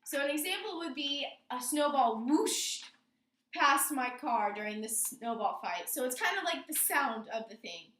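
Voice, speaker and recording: A woman teacher with a very high voice explains things to a class and often checks in with questions. She is recorded in an echoey room, some way from the microphone. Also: lively intonation, unhurried, a bit bright. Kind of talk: classroom lesson on figurative language. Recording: roomy echo, distant microphone.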